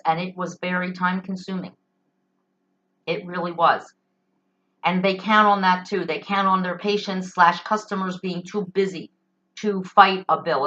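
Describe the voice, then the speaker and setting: Bright-voiced American woman, dull lo-fi recording. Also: on the low side. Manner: indignant, emphatic, complaining. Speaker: solo talk show host. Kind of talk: conversational opinion monologue. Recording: dull, lo-fi sound.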